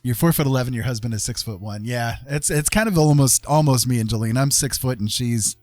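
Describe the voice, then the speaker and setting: A man hosts a laid-back livestream in a rambling, jokey manner. His voice sits at an ordinary pitch. The sound is dry and close, with faint music underneath. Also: fairly quick, darkish tone, no echo. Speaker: livestream host and artist. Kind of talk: casual livestream chat.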